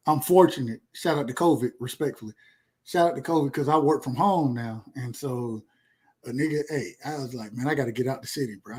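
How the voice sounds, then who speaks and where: Man, 30s, Georgia Southern accent, slightly high voice, emphatic casual podcast talk, repeats himself. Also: a little mellow, slightly rough. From rural Georgia, USA. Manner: emphatic, repeats phrases, slangy and profane. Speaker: podcast speaker. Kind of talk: casual chat.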